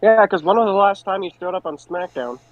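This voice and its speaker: Higher-voiced man on a dull mic. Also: lively intonation, a little fast, no echo. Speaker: podcast co-host. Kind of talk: casual chat about pro wrestling.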